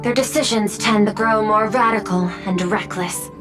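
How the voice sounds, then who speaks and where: Dignified female official for a game, actress, medium pitch, formal and measured, music bed. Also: lightly acted. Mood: composed, grave. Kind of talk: video game voice acting. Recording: music under the voice.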